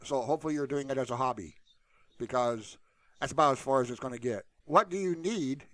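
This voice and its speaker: A man's voice: medium pitch, a little fast, a bit bright, no echo. A man hosting a tutorial channel, talking casually and off the cuff in a blunt, rambling, colloquial way into a close mic. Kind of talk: casual unscripted screen-share talk.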